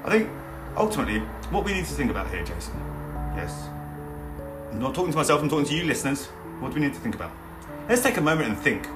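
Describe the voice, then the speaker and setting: A man's voice: a little high, big pitch swings, fast, music in the background, low-quality recording. A British man casually hosting his own podcast, rambling, joking at himself and swearing freely. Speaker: podcast host. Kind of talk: casual solo podcast chat.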